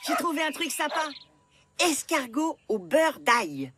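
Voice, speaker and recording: Young cartoon boy, highish, blunt, easygoing, offhand, dry sound. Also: sing-song, a little slow, a bit bright, no room sound, faint music behind, full character voice. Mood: casual. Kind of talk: animated cartoon dialogue.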